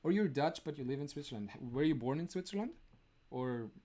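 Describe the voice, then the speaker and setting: A man's voice: fairly high pitch, lively intonation, fairly quick, warm tone. Laughing young man chatting on a live stream. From Romania. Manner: chatty, laughs often, many "like" fillers. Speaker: streamer answering chat. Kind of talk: casual live-stream chat.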